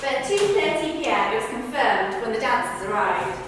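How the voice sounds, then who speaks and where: A woman's voice: a little high, lively intonation, moderate pace, lightly acted. A woman rehearsing verbatim witness accounts for the stage, telling them in a conversational but projected way. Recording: echoey hall, far from mic, faint noise.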